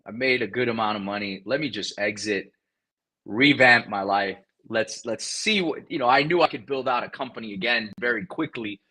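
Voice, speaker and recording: A man whose first language is Spanish talks as a podcast guest with lively energy. His voice is bright and forceful with a husky edge. He often repeats words and restarts sentences on the fly. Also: mid-range voice, moderate pace. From South Florida. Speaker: guest, real estate investor. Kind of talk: casual interview talk.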